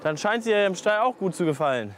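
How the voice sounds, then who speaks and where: A man, a dairy farmer, talking in a relaxed way outdoors. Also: sits high, fast talker, slight background noise. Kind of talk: casual interview.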